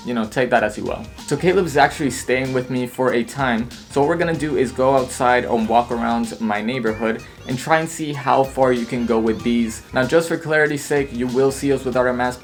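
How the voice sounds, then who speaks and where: A man's voice: mid-range voice, fairly quick, few pauses, soft background music. Casual young man reviewing gear. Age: young adult. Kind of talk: casual product review vlog. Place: home room studio, later outdoors on a street.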